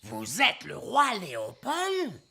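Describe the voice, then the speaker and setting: A woman's voice: fairly low pitch, wildly swooping pitch, takes it slowly, creaky, sharp tone, slightly rough, heavily acted. A woman acting an indignant, questioning courtly character in a French cartoon dub. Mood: incredulous.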